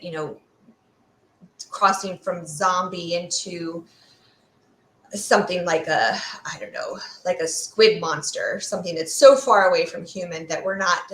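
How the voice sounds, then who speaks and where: A woman with a slightly low voice speaks in a roomy, echoey space. She explains things conversationally, as an expert guest would in an interview. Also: a bit bright. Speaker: guest expert, fear sociologist.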